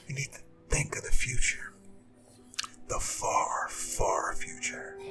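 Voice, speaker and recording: Man playing a breathy, gravelly, smug Demon King. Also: mid-range voice, poor audio. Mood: calculating, persuasive. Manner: smug, taunting, deliberate, persuasive villain speech. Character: immortal male Demon King, smug, scheming, silkily menacing tyrant. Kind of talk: scripted ASMR audio roleplay monologue.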